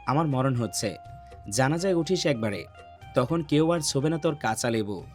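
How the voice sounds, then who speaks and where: A man plays a lovesick young man in a romance audio story. His voice is heavy and gruff, and he broods and orders people around with menace. Faint music runs behind him. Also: animated, normal speed, a little mellow, lightly acted. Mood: heartbroken, brooding. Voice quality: deep, heavy voice.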